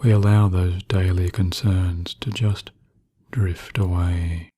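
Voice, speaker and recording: A man narrating guided sleep hypnosis in a soothing, hushed manner with long pauses. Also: low voice, little pitch movement, slightly rough, dry recording, studio quality.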